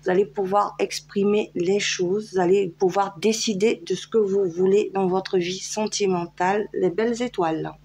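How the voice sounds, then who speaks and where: A woman's voice: mid-range voice, fairly even intonation, moderate pace, few pauses, a little mellow, slightly rough. A French woman giving a casual tarot reading, chatty and hesitant, with many fillers. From France. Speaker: tarot card reader. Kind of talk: casual tarot guidance video.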